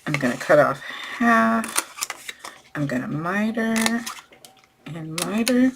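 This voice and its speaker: Slow, low-voiced woman teaching a craft. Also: expressive, creaky, background noise. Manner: slow, talks through each step while working. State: losing her voice, clearing her throat. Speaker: crafting channel host. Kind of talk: step-by-step craft tutorial.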